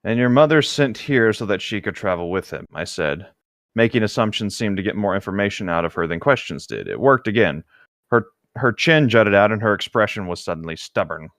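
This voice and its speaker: Deep-voiced man reading aloud, wry and casual. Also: animated, a little fast, a bit bright, dry recording, studio quality. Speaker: co-host reading a novel aloud with commentary.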